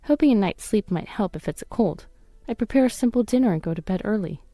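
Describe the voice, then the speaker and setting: Female story narrator, mellow tone, dry close recording, scripted first-person domestic drama. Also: medium pitch, animated, fast, no room sound. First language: English.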